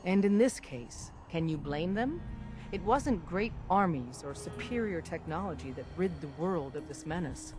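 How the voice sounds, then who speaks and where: An actor playing an eloquent, solemn veteran resistance operative in a game, speaking in a measured, florid way. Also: male voice, high voice. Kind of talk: game cutscene acting. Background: music.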